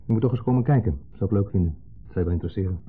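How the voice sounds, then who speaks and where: An actor playing a wistful, brooding, middle-aged ex-husband in a radio play, speaking in wordy, hesitant, formally polite sentences with a pointed undertone. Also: male voice, on the low side, rapid-fire, breathy edge. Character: wistful, brooding ex-husband, a long-winded dinner guest. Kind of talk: 1970s Dutch radio play acting. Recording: muffled, phone-like 1970s radio recording.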